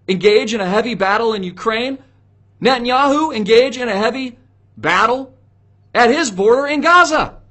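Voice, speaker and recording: A man's voice: extremely high pitch, bright, forceful tone. A man giving opinionated solo political commentary to viewers, speaking in an emphatic, preachy way with repeated rhetorical questions.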